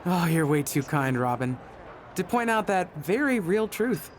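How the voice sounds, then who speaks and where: A woman's voice: on the low side, sing-song, a little slow. A woman voicing a self-assured, boastful female champion wrestler in video game story dialogue, breezy and mock-modest. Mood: smug, amused. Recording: noisy background ambience.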